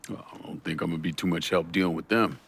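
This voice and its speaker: A man voicing a calm, hard war veteran in a video game, speaking in terse, laconic lines. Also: low voice, fast, husky, full character voice. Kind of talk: video game cutscene acting.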